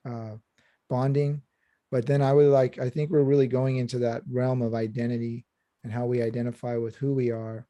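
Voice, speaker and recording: A man with a very dark, mellow voice talks in a measured, reflective way. The sound is dry and close, with no room around it. He speaks as a historian on a discussion panel. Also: mid-range voice, no room sound. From Southern California.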